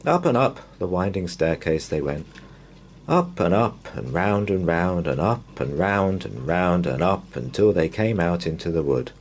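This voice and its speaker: Low-voiced man reading a bedtime story, very expressive. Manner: measured, drawn-out, expressive phrasing. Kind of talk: bedtime story read-aloud for young children.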